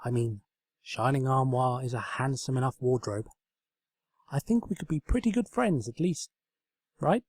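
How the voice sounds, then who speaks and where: A man's voice: medium pitch, expressive, moderate pace, pauses often, darkish tone, husky, no echo. A man voicing a polite, sensible and mildly puzzled princess who is a living piece of furniture in a comic story. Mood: agreeable, unsure.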